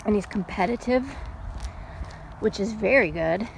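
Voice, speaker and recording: A middle-aged woman chatting casually in an unhurried, folksy way, like a mom telling stories while out on a walk. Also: medium pitch, gravelly. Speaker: storytelling vlogger and mother. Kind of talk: casual rambling chat. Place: outdoors, walking. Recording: steady background noise, outdoors on a walk.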